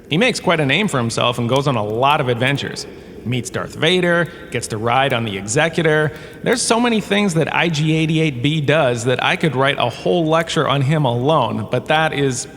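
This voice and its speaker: A man's voice: mid-range voice, a bit bright, natural voice. A man playing a glib, self-amused washed-up drama star giving a wry, conversational comedic lecture with theatrical emphasis. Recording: echoey cave-like reverb, ambient noise behind.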